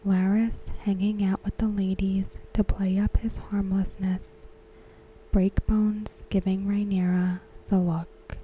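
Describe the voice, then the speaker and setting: A woman whispering softly as an ASMR host, talking through a TV episode. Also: mid-range voice, level tone, slow pace, slight background noise, rough recording. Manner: whispered, unvoiced and close. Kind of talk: soft-spoken ASMR commentary.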